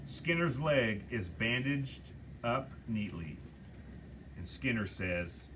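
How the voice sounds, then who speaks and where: A man's voice: mid-range voice, expressive, slow pace, fairly bright tone, a little hiss or hum. A man reading stage directions aloud at a table reading and directing the scenes at a film studio.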